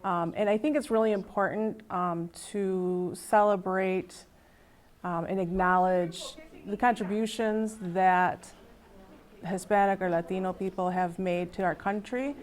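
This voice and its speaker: A woman's voice: medium pitch, fairly even intonation, a little slow. Thoughtful woman with many ums. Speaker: interviewee.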